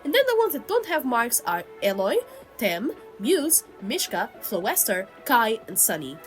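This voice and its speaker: Woman chatting casually about art, voice a bit under the weather, soft music behind. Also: on the high side, sing-song, a little slow. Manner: chatty, informal, frequent "like" asides. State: sick, still under the weather. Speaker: co-host, digital artist. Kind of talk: casual speedpaint commentary. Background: background music.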